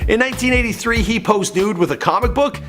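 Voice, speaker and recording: A man's voice: very high voice, fast, fairly bright tone. A man delivering a scripted biography narration like a showy storyteller, with conversational asides. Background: background music.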